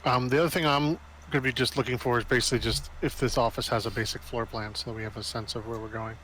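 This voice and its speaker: A man's voice: medium pitch, steady tone, fast talker, a bit bright, poor audio. A man talking casually as a player during a tabletop roleplaying game. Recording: faint background noise.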